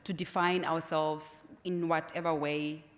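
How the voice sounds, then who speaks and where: Low-voiced woman on a panel stage. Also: level tone, measured pace. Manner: thoughtful, repeats words as she starts. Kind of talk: panel discussion. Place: stage in a large hall. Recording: long hall reverb.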